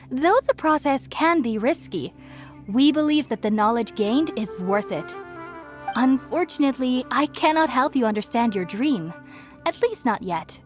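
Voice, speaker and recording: A woman playing an earnest, gentle young researcher in a video game, explaining things in a thoughtful, articulate way. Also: on the high side, lightly acted. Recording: music behind the voice.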